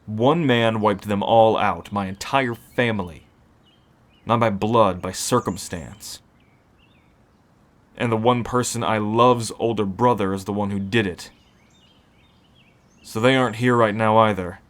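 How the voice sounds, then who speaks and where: A man playing a weary, protective werewolf who keeps a refuge, speaking in a measured, earnest way for an ASMR roleplay. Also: fairly low pitch, lightly acted. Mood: grave, sorrowful.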